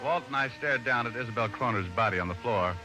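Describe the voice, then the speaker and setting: A man's voice: medium pitch, fast, over loud music, close to an everyday voice. An actor playing a wry, flippant male private detective, speaking and narrating in an old radio drama. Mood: dry, composed.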